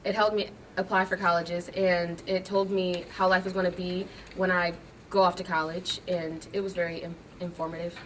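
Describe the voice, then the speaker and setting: A woman's voice: on the low side, flat delivery, normal speed. A young woman, a graduating student, answering interview questions in short, earnest statements. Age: young adult. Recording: distant mic, faint noise.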